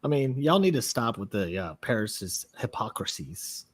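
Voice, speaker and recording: A man's voice: lowish voice, big pitch swings, moderate pace, slightly rough. A man co-hosting a podcast in casual chat, blunt and profane, often repeating words as he starts sentences. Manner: stammering restarts, blunt and swearing. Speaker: podcast co-host.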